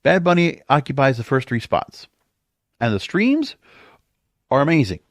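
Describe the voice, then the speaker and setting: Man, mid-pitched voice, podcast host, casual chart commentary, off-the-cuff, animated intonation. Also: normal speed, no room sound, clean studio sound. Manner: off-the-cuff, thinking aloud while reading figures.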